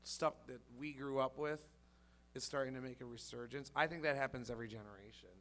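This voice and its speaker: A man in his late twenties talking in a relaxed, conversational way. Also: medium pitch, fairly quick. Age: 20s.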